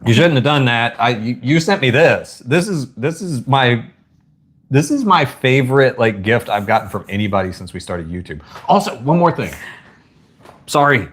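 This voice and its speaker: A man's voice: medium pitch, lively intonation, moderate pace, a bit bright, breathy edge. A man with a Southern US accent, hosting a live stream at home in a folksy, rambling chat. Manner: rambling, folksy, says y'all and ain't. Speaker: livestream host.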